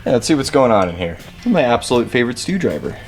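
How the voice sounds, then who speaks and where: A man's voice: medium pitch, sing-song, very fast, faint music behind. A man hosting a repair video in casual chat, wry, joking and rambling, with some swearing.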